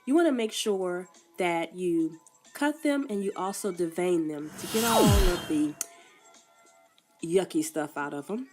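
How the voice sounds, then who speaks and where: A woman's voice: lowish voice, expressive, a little slow, darkish tone. Woman, congested from a cold, casual step-by-step cooking instructions, faint music behind. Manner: plain step-by-step instructions with frequent coughs. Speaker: cook. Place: food truck kitchen.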